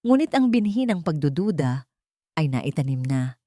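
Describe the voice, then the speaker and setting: A woman's voice: deep voice, big pitch swings, moderate pace, mellow, no echo, studio quality. A woman narrating a dramatic scripted story.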